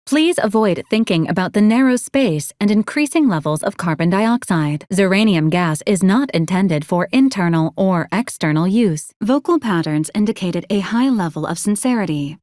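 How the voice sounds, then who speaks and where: A woman voicing a polite, literal-minded medical robot in a video game, speaking in formal, clinical, deadpan announcements. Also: medium pitch, normal speed, hardly any pauses, dry recording, clean studio sound, natural voice. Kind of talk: scripted video game character lines.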